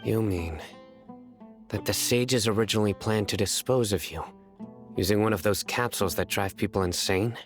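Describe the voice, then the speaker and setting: A stern male interrogator from a video game, acted by a man. He is low-voiced, formal and suspicious, with clear background music under his words. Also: normal speed, natural voice. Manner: formal, pointed, distrustful.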